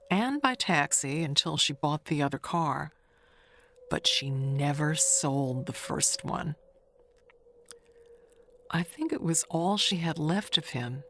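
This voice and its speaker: Woman acting a creaky, low-voiced gossipy spinster. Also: a little hiss or hum, full character voice. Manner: confiding, chatty, with pointed pauses and hushed asides. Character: gossipy, wistful, never-married older woman neighbor, insinuating. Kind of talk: dramatic monologue in an audio mystery tale.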